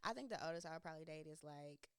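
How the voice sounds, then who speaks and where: A woman's voice: sits low, animated, racing, a bit bright, clean tone, no echo. A woman in her thirties hosting a casual podcast chat, laughing, teasing and talking in loose slang. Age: 30s. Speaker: podcast host.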